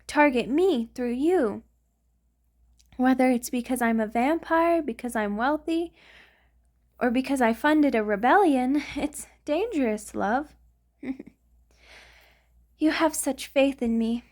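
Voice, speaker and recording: A woman's voice: high-pitched, a little mellow. A woman voicing a centuries-old vampire lover in an intimate ASMR roleplay, doting and anxious, with a hesitant, sighing delivery. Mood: tender, worried. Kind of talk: ASMR romantic roleplay monologue.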